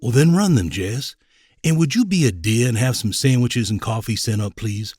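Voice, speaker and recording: Zealous, measured evangelical reverend. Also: male voice, mid-range voice, animated, darkish tone, slightly rough, no room sound. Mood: courteous. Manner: preacherly, measured, self-assured. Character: zealous male evangelical reverend, pious, coolly menacing villain. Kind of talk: audio drama acting.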